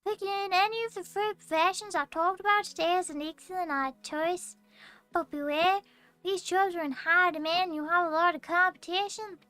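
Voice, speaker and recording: Role: a very high, bright-voiced magic teacher in a put-on Scottish accent, read by a woman streamer. Formal, slow lecture delivery. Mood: lecturing. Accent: mock Scottish. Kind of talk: reading a visual novel aloud.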